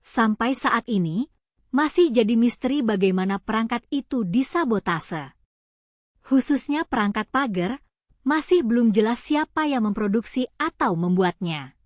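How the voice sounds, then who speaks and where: A woman's voice: a little high, animated, a little slow, no echo, studio quality. A woman reading scripted news narration.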